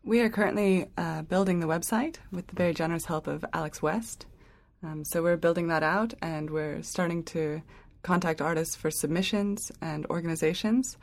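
A woman's voice: lowish voice, normal speed. A young woman talking about founding her project, chatty with many fillers. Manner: chatty, filler-heavy with light laughs. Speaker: interview guest, project founder. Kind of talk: casual interview answers.

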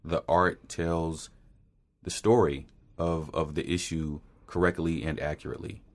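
A man interviewing two guests, asking measured, probing questions. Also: very deep voice, little pitch movement.

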